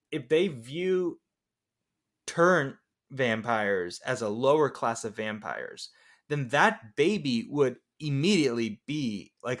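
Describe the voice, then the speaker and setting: A man's voice: mid-range voice, a bit bright. Man chatting casually about a film. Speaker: podcast co-host.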